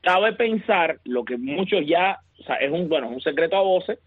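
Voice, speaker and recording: A man talking baseball on a sports radio show, emphatic and building excitement as he argues his point. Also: on the high side, moderate pace, slightly rough, dry recording. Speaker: baseball analyst. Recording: tinny phone line.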